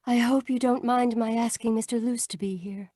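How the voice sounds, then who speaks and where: Give this actress's wealthy radio-mystery lady a cool, haughty air. Her voice is rather high and soft in tone, recorded dry, with formal, dismissive, curt phrasing. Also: steady tone, moderate pace, dry recording, close to an everyday voice. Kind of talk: old-time radio mystery acting. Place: home, live video call performance.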